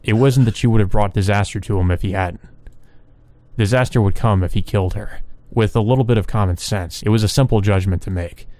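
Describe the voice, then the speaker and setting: A man with a lowish voice narrates a visual novel. He reads the story text aloud as a let's player. The sound is dry, without room echo. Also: fairly quick, mellow.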